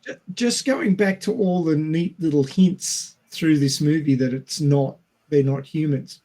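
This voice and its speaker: A man's voice: a little high, a little slow, dark tone. A man co-hosting a casual film discussion, explaining his points and posing rhetorical questions. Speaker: podcast co-host.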